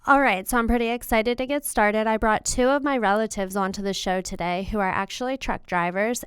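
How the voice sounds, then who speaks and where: A woman's voice: on the high side, a bit bright, clean tone, no room sound, clean studio sound. A young woman co-hosting a podcast in a casual, chatty style. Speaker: podcast co-host. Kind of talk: casual banter and interviewing.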